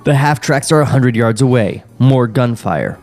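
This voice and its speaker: A man reading screenplay action lines aloud in a steady, mid-pitched voice, dry and a little wry. Light music sits faintly in the background of his podcast studio mic. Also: moderate pace. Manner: dry, wry, with chuckles and sarcastic asides. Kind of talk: script table read, narrating stage directions.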